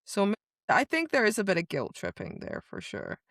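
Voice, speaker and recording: A woman's voice: mid-range voice, wildly swooping pitch, brisk, a bit bright, dry recording, studio quality. A woman co-hosting a podcast, recapping a story in casual chat and emphatically quoting the characters. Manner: emphatic retelling, acting out characters' dialogue. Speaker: podcast co-host.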